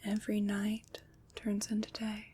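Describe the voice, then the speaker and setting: Casting: female ASMR performer as a kindly witch, unhurried hushed whisper, gentle reassurance, noticeable background noise. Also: medium pitch, monotone. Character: kind, soothing witch comforting someone.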